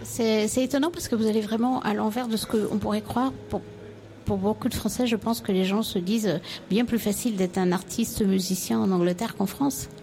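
A woman's voice: mid-range voice, steady tone, fairly quick, dark tone, slight background noise. Polite woman interviewer asking questions. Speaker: radio interviewer.

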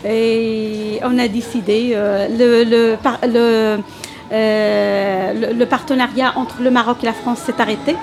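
A woman's voice: a little high, little pitch movement, slow pace, a little mellow. A middle-aged woman from Morocco, an Arabic speaker, answering interview questions hesitantly with many fillers. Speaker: language teacher guest.

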